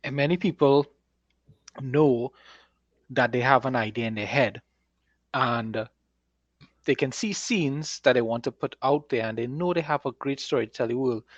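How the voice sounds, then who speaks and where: A man's voice: medium pitch, no room sound, muffled recording. A man co-hosting a casual podcast, explaining things in an encouraging way. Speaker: podcast co-host and author.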